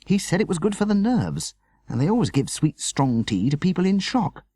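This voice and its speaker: A man's voice: sits high, sing-song, normal speed, mellow, husky, dry recording, studio quality, voice pushed up, heavily acted. A man voicing an earnest, dramatic college-age older brother in a children's audiobook.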